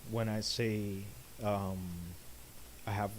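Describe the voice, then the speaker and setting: A man's voice: fairly low pitch, flat delivery, takes it slowly, slight background noise. A man co-hosting a podcast, talking casually with frequent fillers and restarts. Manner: hesitant, stammering, frequent fillers. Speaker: podcast co-host. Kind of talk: casual chat.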